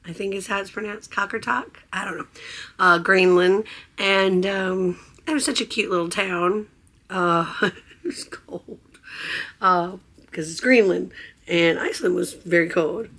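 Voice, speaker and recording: A hoarse woman who has nearly lost her voice, chatting in a casual, gushing vlog aboard a cruise ship. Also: mid-range voice, a bit bright. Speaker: vlogger. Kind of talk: casual travel vlog chat.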